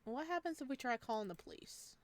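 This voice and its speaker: A woman game streamer reading visual novel text aloud with casual, expressive commentary. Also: high voice, a little fast.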